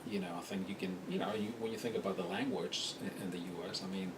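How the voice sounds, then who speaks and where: A middle-aged man from Spain speaking reflectively in a documentary interview, with a hesitant, halting delivery. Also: fairly even intonation. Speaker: interviewee, university employee. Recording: noisy, rumbling, distant mic.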